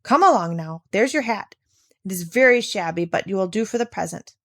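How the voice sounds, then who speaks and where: Storybook aunt, read by a woman: bossy, hurried, persuasive, impatient with children. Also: mid-range voice, animated, a bit bright, dry recording, studio quality. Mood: hurried, coaxing.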